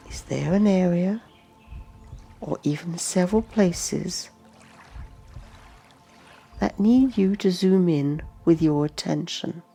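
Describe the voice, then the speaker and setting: A woman leads a guided meditation in a very slow, soft-edged mellow voice. There is a noticeable creak in her tone, and she leaves space between short, gentle phrases. Faint music plays behind her. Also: on the low side. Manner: gentle, unhurried instructions in short phrases with long pauses. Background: music and nature sounds.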